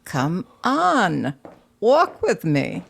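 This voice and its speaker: An inquisitive, persistent lady of the house in an audio play. She coaxes and probes at a slow, deliberate pace, in a slightly low register. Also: wildly swooping pitch, vocal fry, slight background noise, heavily acted. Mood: coaxing. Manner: probing, coaxing, unhurried. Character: curious, insistent female portrait sitter with a big house. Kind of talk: audio drama dialogue.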